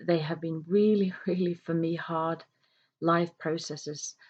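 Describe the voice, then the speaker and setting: A woman whose first language is Norwegian, an author talking to camera in a slow, hesitant way with many pauses and fillers. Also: low voice, fairly even intonation, muffled recording. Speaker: self-published author. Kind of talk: reflective talk to camera.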